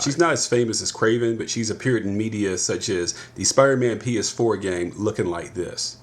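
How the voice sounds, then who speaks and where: A man, the channel host, casually narrating a fan-made movie story as an easygoing storyteller who acts out characters. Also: on the low side.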